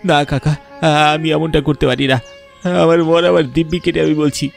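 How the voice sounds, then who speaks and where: A man's voice: on the high side, steady tone, moderate pace, vocal fry, faint music behind. A man voicing a meek orphan village youth in a cartoon, pleading. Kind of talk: cartoon voice acting.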